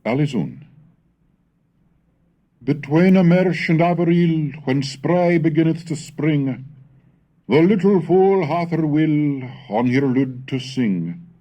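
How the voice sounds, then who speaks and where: A man reading a scripted educational recording on language history, scholarly and measured, turning theatrical when he recites old verse. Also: a little high, mellow. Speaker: reader and lecturer on English language history. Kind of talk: scripted educational narration with dramatic recitation of Middle English texts. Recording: 1970s educational cassette recording.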